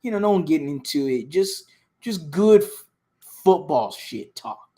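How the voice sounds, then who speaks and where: A man with a raspy, hoarse voice co-hosts a sports podcast. He sits slightly higher in pitch and tells his stories in an eager, rambling way, stumbling over his words. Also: measured pace. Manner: excited, rambling, restarts a lot. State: hoarse from cheering. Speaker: podcast co-host. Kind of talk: casual sports podcast chat.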